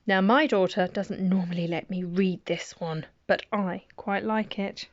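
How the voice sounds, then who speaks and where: A middle-aged woman, reading nursery rhymes aloud as a bedtime story, with gentle chatty asides. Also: mid-range voice, a little slow, no echo, highs cut off.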